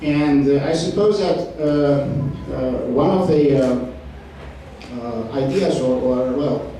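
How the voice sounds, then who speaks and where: A man speaking on a discussion panel, halting, with many fillers and restarts. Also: on the high side, mellow. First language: Romanian. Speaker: panel participant. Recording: echoey hall, distant mic, faint noise.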